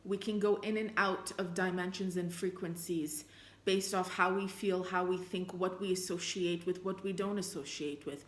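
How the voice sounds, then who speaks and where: A woman whose first language is Armenian, reflecting earnestly on faith and reading passages of scripture aloud, thinking out loud with fillers and restarts. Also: lowish voice, steady tone, normal speed. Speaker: spiritual vlogger. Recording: echoey room.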